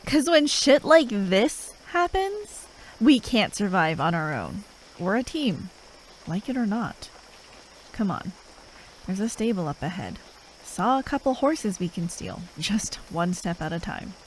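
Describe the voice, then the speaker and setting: A woman playing a gruff, impatient but grudgingly caring fantasy assassin in an ASMR roleplay, sarcastic and sweary as she talks to the listener. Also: medium pitch, very animated, a little slow, slight background noise, rough recording. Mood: indignant, then tense. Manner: sarcastic, drawling, sweary, with sighs.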